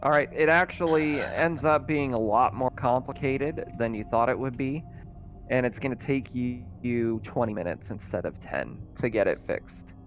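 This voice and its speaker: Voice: medium pitch, normal speed. A person casually running a tabletop roleplaying game as game master, easygoing and often chuckling.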